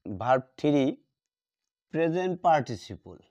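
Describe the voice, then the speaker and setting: A man teaching a grammar lesson, repeating key words slowly as he writes them out. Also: fairly high pitch, very animated, a little mellow. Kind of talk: classroom lesson at a board. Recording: dull lo-fi sound.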